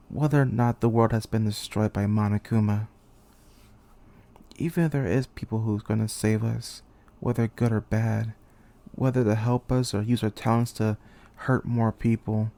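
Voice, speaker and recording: A man's voice: lowish voice, gravelly, mellow. A man acting an amateur dramatic monologue as a weary, bitter young student commander, brooding and intense. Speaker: aspiring voice actor.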